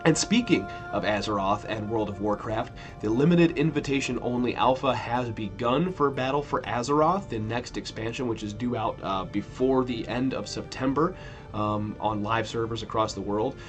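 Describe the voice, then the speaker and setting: A man in his thirties hosting a weekly gaming news show in a relaxed, rambling way, correcting himself as he goes while still sniffly from a cold. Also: mid-range voice, normal speed, soft background music. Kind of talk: casual news roundup.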